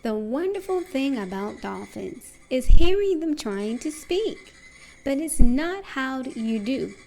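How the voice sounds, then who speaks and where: A woman reading a rhyming children's book aloud in an expressive, deliberate way. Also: high-pitched, creaky, low-quality recording. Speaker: storybook reader.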